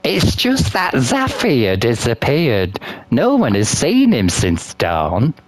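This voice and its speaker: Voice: mid-range voice, huge pitch swings, slow pace, no room sound, pitched below the performer's own voice, exaggerated voice. A gamer voicing an earnest, worried big male warrior leader in a hesitant, pleading way.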